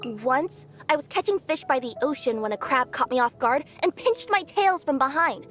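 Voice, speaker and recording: A bubbly cat-yokai courier girl from a game, high sweet voice, faint music behind. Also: animated, normal speed, a bit bright. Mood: chatty. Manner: bubbly, bashful, flustered denials. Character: cheerful young female cat-yokai courier, playful. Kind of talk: video game character voice lines.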